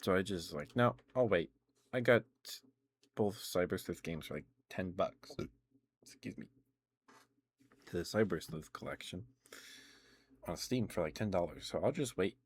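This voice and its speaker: A man's voice: deep voice, lively intonation, unhurried, pauses often, a little hiss or hum. A man hosting a livestream, chatting casually in an ASMR setting about video games in a meandering, self-correcting way. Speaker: livestream host.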